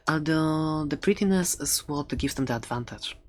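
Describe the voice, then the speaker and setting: A very deep female voice a woman acting as a quirky seller of fantasy creatures in an ASMR roleplay. She chats playfully and hesitantly, close to the mic, with faint background hiss and small clicks. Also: unhurried. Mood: admiring, explaining. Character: chatty, quirky seller of dragon eggs, fond of dangerous pets. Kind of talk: ASMR roleplay, casual rambling talk.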